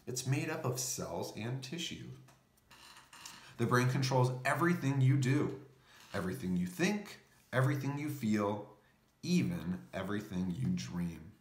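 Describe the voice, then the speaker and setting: Male read-aloud narrator for a children's picture book: animated pitch, slow explanatory delivery. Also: reverberant. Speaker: storybook reader.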